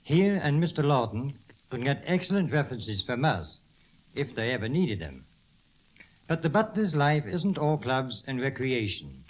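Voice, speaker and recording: A man's voice: mid-range voice, big pitch swings, moderate pace, poor audio. Formal, dignified man on vintage radio. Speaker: butler, guest speaker. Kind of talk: scripted 1930s radio talk. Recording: old radio recording with sizzling hiss.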